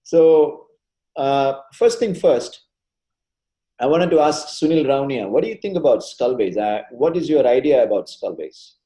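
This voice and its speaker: Mellow-voiced man lecturing on a webinar. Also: medium pitch, unhurried, poor audio. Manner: animated, rhetorical questions. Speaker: neurosurgeon lecturer. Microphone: video call.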